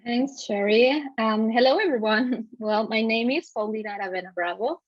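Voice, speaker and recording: A woman's voice: on the high side, normal speed, no echo. Chilean lady with a spanish accent introducing herself on zoom, lots of ums, dry dull lo-fi sound. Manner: hesitant, many fillers. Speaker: guest speaker, psychologist. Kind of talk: introducing herself on a video call. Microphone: video call. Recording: dry lo-fi video call audio.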